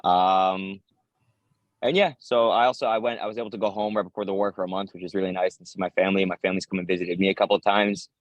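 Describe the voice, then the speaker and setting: A man's voice: sits low, fairly even intonation, quick pace, no room sound, muffled recording. A man in his twenties with an American accent, answering interview questions in casual talk as a soldier. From United States. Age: 20s. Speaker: interviewee, infantry paratrooper soldier.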